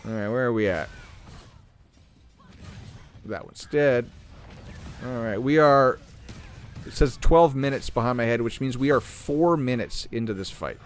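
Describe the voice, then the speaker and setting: Throat-clearing man talking through gameplay. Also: medium pitch, a little slow, pauses often, a little hiss or hum. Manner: relaxed, thinking aloud while playing. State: losing his voice, clearing throat. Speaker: gaming channel host. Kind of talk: casual gameplay commentary.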